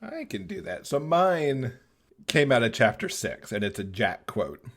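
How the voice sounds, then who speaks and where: A man's voice: medium pitch, sing-song, measured pace, gravelly, a bit bright. A man speaking as a podcast guest, casually giving his thoughts on a book.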